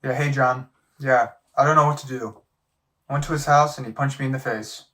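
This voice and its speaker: Man playing an earnest, mid-pitched doctor. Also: steady tone, brisk, pushed and bright, lightly acted. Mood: frustrated. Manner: earnest, pressing. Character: concerned, frustrated male doctor. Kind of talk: audio drama acting.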